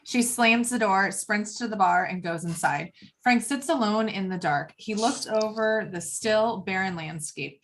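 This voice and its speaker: A woman's voice: mid-range voice, measured pace, few pauses. A woman reading screenplay action lines as the narrator of a table read.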